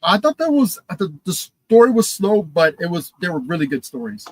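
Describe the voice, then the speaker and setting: Man, young adult, higher pitch, dry close sound, enthusiastic, halting podcast chat. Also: big pitch swings, no room sound. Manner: enthusiastic, halting with many fillers and restarts. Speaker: podcast co-host. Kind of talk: casual chat and reading news items.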